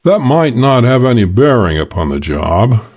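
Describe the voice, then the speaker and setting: A man reading a hardboiled detective audiobook as scripted narration, in a measured, matter-of-fact way. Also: mid-range voice, big pitch swings, a little mellow, husky.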